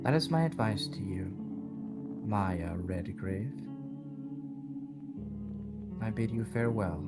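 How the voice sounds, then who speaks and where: A man voicing a solemn, cryptic and sympathetic mysterious woman in an audio drama, speaking in formal, measured phrases. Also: on the low side, expressive. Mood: gently encouraging. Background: guitar music.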